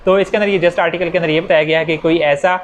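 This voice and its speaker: A Pakistani man with a somewhat high-pitched voice teaches in an informal lecture style. He explains step by step and poses rhetorical questions as he goes. There is light noise and ambience behind his voice. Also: steady tone, quick pace. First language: Urdu. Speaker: law teacher. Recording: noisy recording with background ambience.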